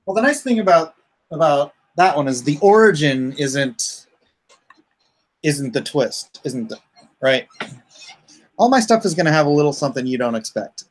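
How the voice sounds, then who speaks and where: A man's voice: on the high side, lively intonation, moderate pace, pauses often, poor audio. Casual, chatty man on a livestream. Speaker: comic artist hosting a crowdfunding livestream.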